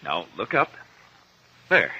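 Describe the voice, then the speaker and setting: No-nonsense doctor, vintage radio crime play; sharp, husky, clipped delivery. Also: male voice, low voice, huge pitch swings, exaggerated voice. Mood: calm, professional. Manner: brisk, clipped instructions. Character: brisk, principled male doctor with simmering temper. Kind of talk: 1950s radio crime drama acting. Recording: muffled old 1950s radio recording.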